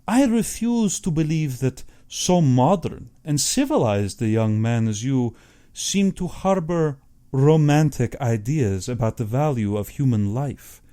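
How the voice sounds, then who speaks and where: A man acts out an older aristocratic general in an audiobook, urbane and boastful. The voice is mellow and swings widely in pitch, with slow, precise, pompous phrasing. He is a cold-blooded hunter who savours his own importance. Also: lively intonation, natural voice. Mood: amused, mocking. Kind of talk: audiobook character acting.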